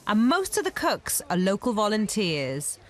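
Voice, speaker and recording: A woman in her thirties presenting a TV documentary, talking with bright enthusiasm. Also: mid-range voice, big pitch swings, normal speed. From Wales. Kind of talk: presenting and interviewing. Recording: music under the voice.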